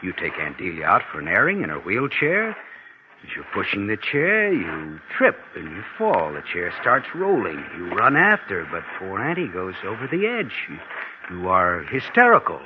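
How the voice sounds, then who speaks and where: Casting: smooth, slangy radio schemer, deep register with big swings, on a dull vintage recording. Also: male voice, huge pitch swings, moderate pace, noisy background. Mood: conspiratorial. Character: scheming male impostor posing as an heiress's nephew. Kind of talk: 1940s radio suspense acting, first-person narration. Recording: muffled old radio recording, music behind.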